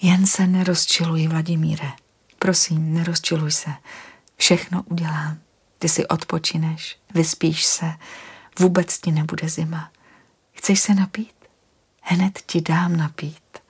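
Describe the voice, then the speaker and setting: A woman reading aloud, voicing a calm young woman of the forest who speaks in soft, soothing whispers. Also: on the low side, breathy edge.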